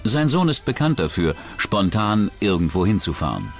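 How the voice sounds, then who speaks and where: A male documentary narrator with a fairly low voice tells a true-crime story from a script. His intonation moves widely, and faint music plays behind him. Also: sing-song, normal speed.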